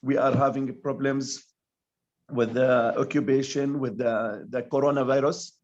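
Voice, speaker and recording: Man, university teacher, mid pitch, measured, pausing, dull video call audio. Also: fairly even intonation, a little mellow. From Palestine. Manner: slow, measured, with many uh pauses.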